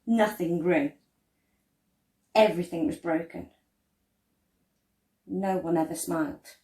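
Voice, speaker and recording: Female storyteller, unhurried and expressive, measured dramatic delivery, mid-range pitch, roomy echo. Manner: measured, deliberate, with dramatic emphasis and pauses. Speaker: storyteller reading a picture book. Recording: roomy, echoey indoor sound.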